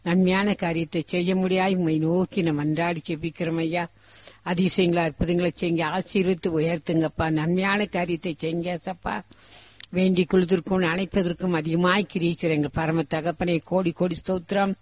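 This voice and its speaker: High-pitched man in fervent, pleading prayer. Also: level tone, normal speed, dry recording. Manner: pleading, repetitive petitions. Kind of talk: fervent extempore intercessory prayer.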